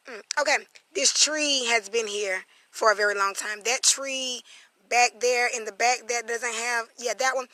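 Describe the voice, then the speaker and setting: A hoarse woman from South Carolina, chatting loosely on a live-stream vlog outdoors on family land, greeting viewers by name as she goes. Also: on the high side, pushed and bright, no room sound. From South Carolina, USA. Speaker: spiritual reader and vlogger. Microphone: phone camera.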